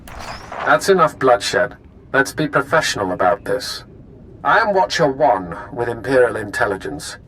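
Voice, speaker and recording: Imperial spy villain, measured, formal, smug, video game dialogue, faint rumble behind. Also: male voice, sing-song, fairly bright tone, rough recording. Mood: cool, formal. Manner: measured, formal, smugly confident. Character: cool, calculating male imperial intelligence agent.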